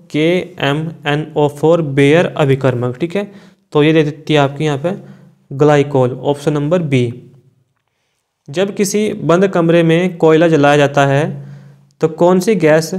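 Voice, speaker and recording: A man's voice: fairly high pitch, a little mellow. A man, an exam coaching teacher reading out quiz questions and giving answers in an informal, brotherly way.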